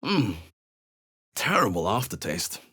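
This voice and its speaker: An actor playing an observant, wry adventurer in a video game, speaking in a husky, measured, understated way. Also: male voice, on the low side, sing-song, sharp tone, heavily acted. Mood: wry, concerned. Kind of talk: video game voice acting, short reactive lines.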